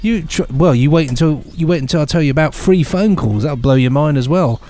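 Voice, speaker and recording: A man's voice: fairly high pitch, a little fast, warm tone. A man co-hosting a podcast in playful, rambling, mock-earnest comic chat. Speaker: podcast co-host.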